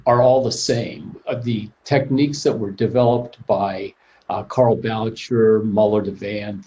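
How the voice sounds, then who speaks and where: Muffled older man lecturing calmly. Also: lowish voice. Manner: measured and plainspoken, practical. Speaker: prosthodontist presenter. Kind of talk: informal professional presentation. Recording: muffled lo-fi call audio.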